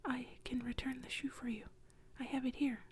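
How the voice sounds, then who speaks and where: A woman's voice: little pitch movement, darkish tone, lightly acted. Brief: frightened young boy, whispered by a woman, apologetic, pleading. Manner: whispered, higher and hurried, pleading.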